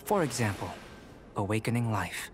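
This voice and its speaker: An actor playing a calm young alchemist in a video game, courteous, detached and formal, speaking in measured, contemplative phrases. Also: male voice, fairly low pitch, music in the background, lightly acted. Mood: thoughtful.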